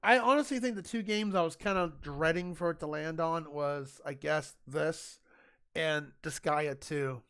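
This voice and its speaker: A man streaming a video game, talking in a loud, rambling, chatty way to his viewers. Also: on the high side, unhurried, smooth. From Italian family. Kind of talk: casual gaming livestream chat.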